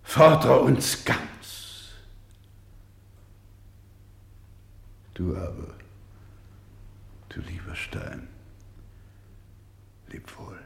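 An actor playing a weary, devout, gentle doctor in a radio play, solemn and halting, with swings between hush and fervour. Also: male voice, low-pitched, big pitch swings, gravelly, reverberant, a little hiss or hum, heavily acted. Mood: pleading, fervent. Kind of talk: dramatic radio play acting.

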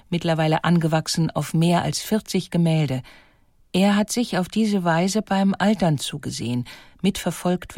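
A woman reading a documentary narration in a clear, composed way. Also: fairly low pitch, darkish tone, no room sound, studio quality. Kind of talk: scripted narration.

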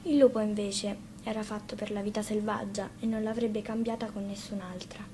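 A woman of medium pitch tells a children's fairy tale from a written script. She is the storyteller, and there is faint noise behind the recording. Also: fairly even intonation, normal speed.

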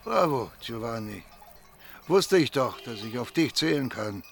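Commanding gravel-edged mafia boss. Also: male voice, medium pitch, sing-song, measured pace, soft background music, exaggerated voice. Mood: assured, genial. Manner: assured, quietly authoritative. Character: commanding mafia boss in hiding, seeking shelter. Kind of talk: radio crime drama acting.

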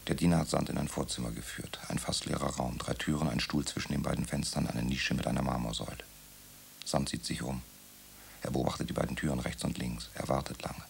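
A man with a gravelly voice calmly narrating the scenes of a radio drama. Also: extremely low pitch, brisk, a little hiss or hum. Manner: calm, descriptive. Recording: music playing behind.

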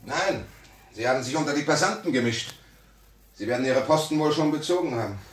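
An actor playing a bitter, hardened revolutionary ex-convict in 1950s German radio drama, with a fierce, declamatory intensity. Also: male voice, mid-range voice, animated, a little fast, close to an everyday voice. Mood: tense, businesslike.

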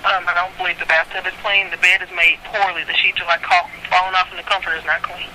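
A woman's voice: lowish voice, level tone, quick pace, sharp tone. A woman, a hotel guest, complaining on the phone, polite but growing exasperated. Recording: noisy phone line.

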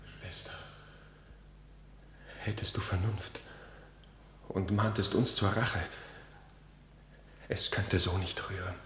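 A man's voice: low voice, level tone, takes it slowly, pauses often, fairly bright tone. An actor in a German classical radio drama playing a hot-headed young nobleman, declaiming with urgent defiance. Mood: stricken. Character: hot-headed young nobleman demanding vengeance for his father. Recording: noisy echoing hall, long reverb.

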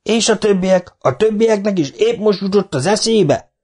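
A man's voice: high voice, a little mellow, voice pushed up. A man voicing a cocky young foreman who barks at the crowd and struts about. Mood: bullying. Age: young adult. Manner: blustering, strutting.

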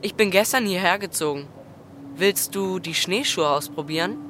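A cocky thirteen-year-old boy , acted by a performer in a radio play, challenging and a little enigmatic. He speaks in blunt, provoking questions and retorts, over clearly audible ambient noise. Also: medium pitch, moderate pace, lightly acted. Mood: cool, probing. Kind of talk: German radio drama acting.

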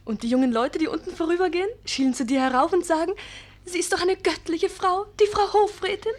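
An actress playing a knowing young confidante in a radio drama, teasing and conspiratorial, with a breathy voice. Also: female voice, very high-pitched, fairly quick. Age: young adult.

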